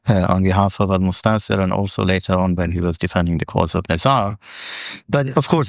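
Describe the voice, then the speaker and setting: A man hosting a formal academic interview, speaking in a measured, polite way and putting questions to a guest. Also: lowish voice, creaky, no room sound, studio quality.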